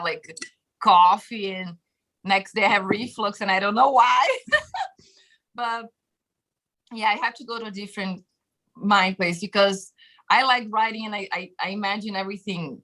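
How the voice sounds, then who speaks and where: Brazilian-accented woman in her thirties, guest on a video call, bright lively tone, chatty with lots of fillers and laughs. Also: medium pitch, low-quality recording. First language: Portuguese. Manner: animated, laughs often, many fillers. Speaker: guest musician. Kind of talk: casual interview chat. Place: bedroom home studio, indoors. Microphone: webcam video call.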